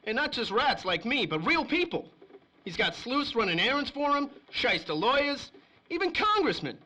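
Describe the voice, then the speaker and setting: A man's voice: extremely high pitch, sing-song, normal speed, bright, forceful tone, heavily acted, poor audio. An actor playing a nervous, shifty small-time crook and informant in a 1930s-style radio crime drama, with whiny, slangy wise-guy talk. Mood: reluctant confiding.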